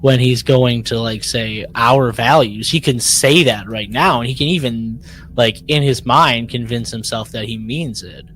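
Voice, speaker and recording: Man, podcast co-host, medium-pitched voice, informal discussion, faint noise behind. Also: measured pace, fairly bright tone. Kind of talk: casual chat about a sci-fi audio drama.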